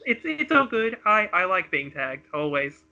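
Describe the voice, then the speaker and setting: A man's voice: high voice, sing-song, measured pace, gravelly, pushed and bright. A man reading a comedy script casually as co-host, giggly and breaking off to banter, on a lo-fi recording. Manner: giggly, breaks off to joke and improvise.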